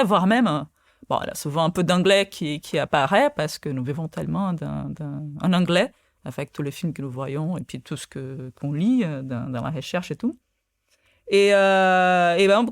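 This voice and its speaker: A woman's voice: on the low side, no echo, studio quality. Hesitant woman. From Brazil. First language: Portuguese. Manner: hesitant, many fillers and restarts. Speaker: guest, quantum physicist. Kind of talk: podcast interview.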